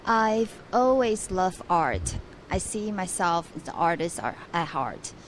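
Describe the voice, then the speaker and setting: A woman's voice: on the low side, very animated, takes it slowly, a little hiss or hum. A young adult woman from Taiwan, a guest on a radio interview, speaking earnestly with frequent restarts.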